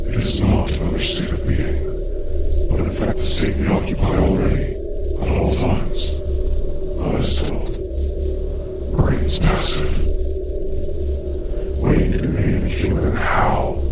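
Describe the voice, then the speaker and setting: A man voicing a horror monologue as someone consumed by rot, morbidly accepting death, brooding and halting, gravelly and breathy, often close to a whisper. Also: low-pitched, heavily acted. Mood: grim conviction. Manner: slow, brooding, halting delivery. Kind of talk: horror audio drama monologue.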